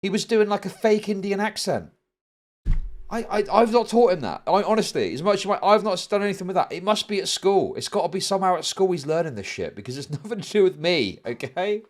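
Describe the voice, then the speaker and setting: A middle-aged man with a British accent hosting a livestream, chatting casually and telling stories in a rambling, sweary, animated way. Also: sits high, fairly quick. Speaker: livestream host. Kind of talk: casual chat to a livestream audience.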